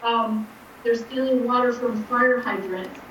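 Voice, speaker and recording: A woman's voice: a little high, steady tone, measured pace, a bit bright, dull audio. A woman making a blunt, indignant public comment at a meeting. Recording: echoey room, distant, faint noise.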